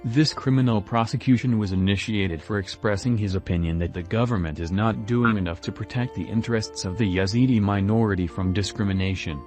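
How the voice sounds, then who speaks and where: A man reading a news report in an even, measured scripted narration. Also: lowish voice, soft background music.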